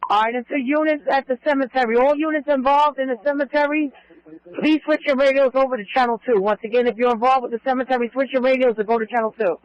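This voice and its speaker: A man with a very high voice dispatches police units over a muffled scanner radio. He talks fast, in brisk directives. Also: fairly even intonation. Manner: brisk, directive, repeats instructions. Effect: two-way radio.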